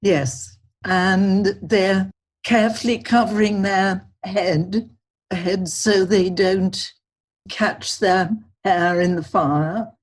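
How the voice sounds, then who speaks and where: An older woman speaks as an interview guest, measured and thoughtful. She talks slowly at an average pitch. Her voice is a little husky. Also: steady tone. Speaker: guest, culinary historian. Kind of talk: podcast interview.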